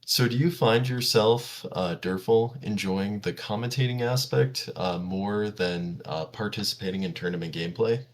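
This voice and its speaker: A man's voice: fairly low pitch, normal speed, hardly any pauses. A man hosting a podcast, joking and agreeable as he asks questions. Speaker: podcast host. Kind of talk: casual interview chat.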